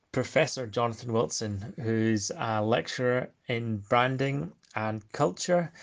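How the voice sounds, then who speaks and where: Gravelly man hosting a podcast. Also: medium pitch. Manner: relaxed, casual, easygoing. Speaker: podcast host. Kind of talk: scripted podcast intro.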